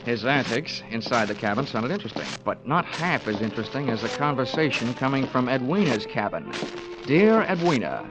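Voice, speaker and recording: An American actor playing a sardonic, hard-boiled investigator who wisecracks through a 1940s radio drama. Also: male voice, medium pitch, big pitch swings, fairly quick, close to an everyday voice, rough recording. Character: wry, hard-boiled male investigator narrating his case. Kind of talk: 1940s radio detective acting. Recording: 1940s radio drama recording with organ music.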